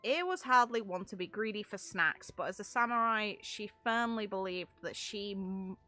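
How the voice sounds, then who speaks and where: Bright-voiced woman, wry and casual. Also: medium pitch, normal speed, faint music behind. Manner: wry, sarcastic asides, stumbles while reading. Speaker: streamer reading and reacting to game lore. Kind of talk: casual reading aloud with off-the-cuff commentary.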